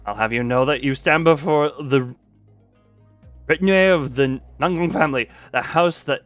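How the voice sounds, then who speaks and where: A man's voice: on the high side, moderate pace, fairly bright tone, dry recording, soft background music, close to an everyday voice. A man voicing a pompous, indignant retainer of a noble house in a game, haughty and declamatory.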